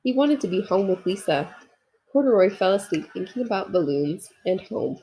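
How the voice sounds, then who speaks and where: A woman narrates a picture book for young children. Her voice is markedly husky with some fry, and her pitch moves in lively, expressive sweeps as she speaks to the listeners. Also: normal speed, low-quality recording. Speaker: storybook reader. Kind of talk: children's read-aloud narration with asides.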